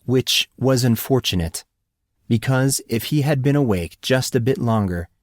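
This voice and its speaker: A man's voice: mid-range voice, animated, a little slow, no echo, studio quality. A man reading a fantasy audiobook as a scripted narration.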